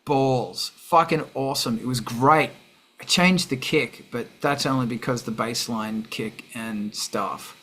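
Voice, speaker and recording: A man's voice: mid-range voice, unhurried, sharp tone, breathy edge. A man hosting a casual chat in a brash, sweary, self-mocking grumpy way. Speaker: host.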